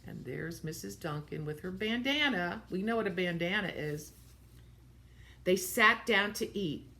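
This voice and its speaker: A woman's voice: fairly low pitch, lively intonation, a little slow, bright, forceful tone. A woman reading a picture book aloud to children, expressively, with simple explanatory asides about the pictures.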